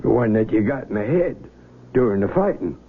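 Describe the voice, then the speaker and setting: An actor playing a calm, authoritative army surgeon who soothes his patient while probing and dodging his questions. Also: fairly low pitch, a little fast, breathy edge. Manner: soothing yet probing, evasive. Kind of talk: 1970s radio drama acting. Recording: tinny old radio broadcast with hiss.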